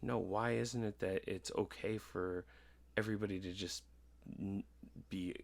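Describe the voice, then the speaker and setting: A man in his thirties speaks in a low, creaky voice. He chats casually, as on a podcast, and rambles along candidly with frequent stops and restarts. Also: fairly even intonation, a little mellow. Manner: halting, rambling, candid, swearing freely. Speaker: podcast host. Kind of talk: casual podcast chat about personal experience.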